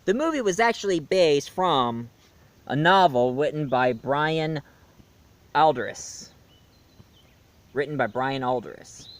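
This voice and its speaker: A man hosting a casual movie review show, rambling with lots of fillers and restarts and swinging between loud bursts and quiet asides. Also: on the high side, expressive, takes it slowly, long pauses.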